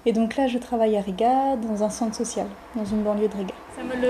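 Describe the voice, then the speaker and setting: A young woman from near Nantes, a volunteer at a social centre, reflecting thoughtfully in an interview with frequent fillers. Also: fairly high pitch. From France, near Nantes. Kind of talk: documentary interview. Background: background music.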